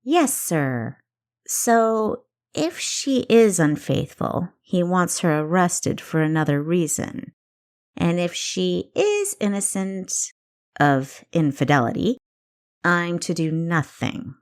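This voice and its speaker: Casting: a woman performing a young female spy in a fantasy story, sarcastic, cheeky, pitched above the narration. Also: big pitch swings, takes it slowly, clean tone, heavily acted.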